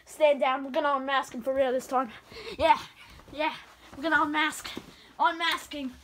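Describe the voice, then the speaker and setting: High-voiced young woman, melodramatic, noisy handheld audio. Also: steady tone, normal speed, a bit bright. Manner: playfully melodramatic. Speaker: vlog co-host. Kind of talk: improvised mock-horror found-footage skit. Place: dim carpeted home basement. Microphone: handheld camera. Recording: noisy handheld camera in basement.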